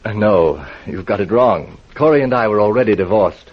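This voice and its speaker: A man's voice: lowish voice, lively intonation, moderate pace. A man playing a polite, evasive middle-aged dress designer with an American accent, in 1940s radio acting style. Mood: polite, defensive. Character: guarded, polite male dress designer, forty-five. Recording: dull vintage radio recording.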